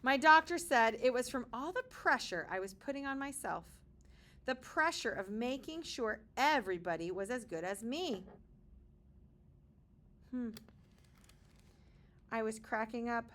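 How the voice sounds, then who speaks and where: A woman with a slightly high voice reads a storybook aloud for small children. She takes her time, speaking very slowly and deliberately. Key words get dramatic emphasis, and she adds short asides to explain the pictures. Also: lively intonation, fairly bright tone. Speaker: kindergarten teacher reading aloud. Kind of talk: expressive children's picture-book read-aloud.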